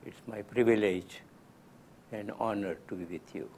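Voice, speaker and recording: A man in his nineties, a native Gujarati speaker from India, giving a gentle, unhurried spiritual talk with soft laughs. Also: lowish voice, fairly even intonation, a little hiss or hum. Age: 90s. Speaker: lecturer.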